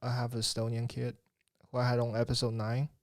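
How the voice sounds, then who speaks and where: A man's voice: mid-range voice, completely flat delivery, fairly quick, no echo, clean studio sound. Young man. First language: Chinese. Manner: loose, rambling, swears casually. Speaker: podcast host. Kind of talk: casual chat.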